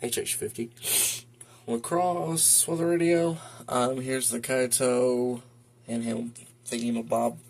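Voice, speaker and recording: A man talking casually and haltingly through an unscripted show-and-tell as a hobbyist. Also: medium pitch, steady tone, darkish tone. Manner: halting, hesitant, informal. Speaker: hobbyist video host showing a weather radio collection. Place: indoor room at home. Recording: noisy home video with clicks.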